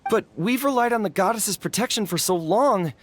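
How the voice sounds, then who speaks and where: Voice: medium pitch, expressive, normal speed, close to an everyday voice. An actor voicing a timid, honest, worried townsperson in a fantasy game, with a hesitant and apologetic manner. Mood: fearful. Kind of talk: animated game character acting.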